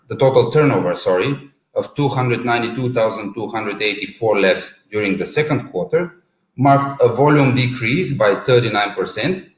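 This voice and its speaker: A man with a Bulgarian accent reads long runs of numbers in an even, mid-pitched voice, correcting himself now and then. The room gives his voice a noticeable echo. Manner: reads long strings of figures in an even delivery, with an occasional self-correction. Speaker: presenter of results. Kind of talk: formal read presentation. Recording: echoey room.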